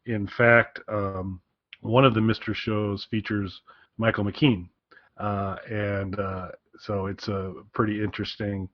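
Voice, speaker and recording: A man's voice: lowish voice, little pitch movement, no room sound. Man podcasting in a dry, slightly dull recording. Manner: rambling, halting, repeats phrases. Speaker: podcast co-host. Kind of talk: casual podcast recommendation chat.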